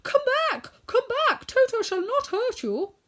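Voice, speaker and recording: A woman's voice: extremely high pitch, very animated, a little slow, a bit bright, voice pushed up, heavily acted. A woman voicing a kindly, humble and protective tin woodman in a storybook, speaking earnestly and courteously. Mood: calling out. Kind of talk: storybook character voice.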